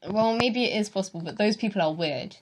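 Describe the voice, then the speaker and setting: A woman's voice: medium pitch, a little fast, noisy background. A young woman from the UK co-hosting a casual chat, reading out facts and rambling with many ums. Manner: rambling, hesitant with many fillers. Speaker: co-host.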